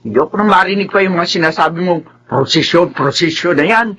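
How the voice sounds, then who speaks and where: A performer playing a bitter, neglected first wife in a radio drama, scornful and dismissive. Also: male voice, high-pitched, fast talker, bright, forceful tone, heavily acted.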